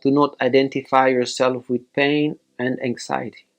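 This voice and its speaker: A man leading a guided meditation as a teacher, giving measured instructions in short, separated phrases. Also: medium pitch, flat delivery, highs cut off.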